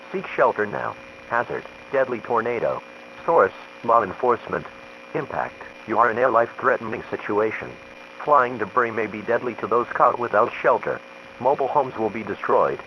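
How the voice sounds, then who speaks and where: A male automated-style announcer reads an emergency weather alert in a formal, measured way. The sound is a dull radio broadcast with clear noise and hum. His delivery is impersonal. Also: mid-range voice, bright, forceful tone. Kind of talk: scripted emergency alert reading.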